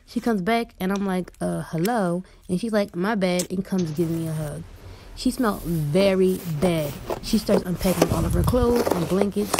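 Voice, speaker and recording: A young American woman telling a casual first-person storytime. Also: on the low side. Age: young adult. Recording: loud background noise.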